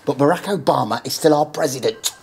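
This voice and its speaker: A man playing an earnest, muddled character being told off in a comedy soap, with a husky, breathy voice. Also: a little high, fairly quick, exaggerated voice. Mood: defensive.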